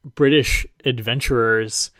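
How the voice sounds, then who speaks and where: A man's voice: mid-range voice, steady tone, takes it slowly, fairly bright tone, no echo. Dry, close-sounding male podcast host. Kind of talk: podcast introduction and interview.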